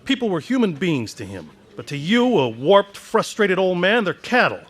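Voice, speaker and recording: A man's voice: high-pitched, sing-song, moderate pace, breathy edge, full character voice. An actor playing a restless, proud young man in a staged radio drama, stammering when shy and heated when angry. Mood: indignant.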